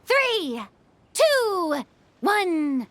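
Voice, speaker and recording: A theatrical young female director from a video game. Her voice is high and very lively, with an unhurried, emphatic delivery that is eager and commanding. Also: a little hiss or hum, heavily acted. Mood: commanding, focused.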